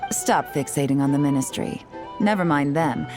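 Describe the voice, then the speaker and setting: A woman's voice: deep voice, moderate pace, over music, natural voice. A woman playing a shrewd government official in a video game, wry, blunt and guarded. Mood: wry, wary. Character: shrewd, dry-witted female government official.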